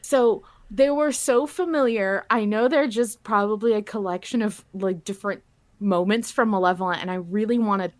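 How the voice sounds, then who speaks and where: A woman's voice: mid-range voice, normal speed. Woman podcast host chatting casually. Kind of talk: casual fan podcast chat.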